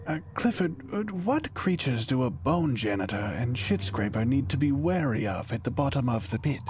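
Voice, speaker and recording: An actor playing a timid, courteous pit worker in a comedic fantasy audio drama, speaking formally and hesitantly. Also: male voice, medium pitch, very animated, normal speed, darkish tone, a little hiss or hum, close to an everyday voice.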